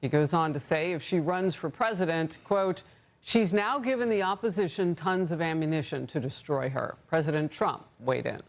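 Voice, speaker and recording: A woman's voice: low-pitched, expressive, moderate pace. A woman anchor reading a news report and quoting a source.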